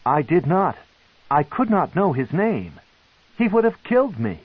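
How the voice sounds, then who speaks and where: A man voicing a desperate young male dark elf wizard who stutters and pleads. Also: mid-range voice, animated, moderate pace, no room sound, narrow, tinny audio, close to an everyday voice.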